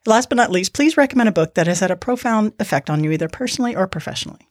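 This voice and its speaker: A woman's voice: mid-range voice, expressive, dry recording, studio quality. A woman hosting a podcast who asks the guest questions and responds enthusiastically. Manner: enthusiastic, quick to agree. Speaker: podcast host. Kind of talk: casual interview.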